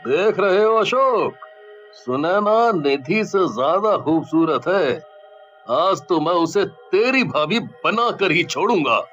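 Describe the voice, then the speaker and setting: A man's voice: high voice, lively intonation, takes it slowly, fairly bright tone, exaggerated voice. A man playing a brazen, bullying young village thug in a cartoon story, with a lecherous, scoffing manner. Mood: leering. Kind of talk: Hindi cartoon story voice acting. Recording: dull lo-fi voice over music.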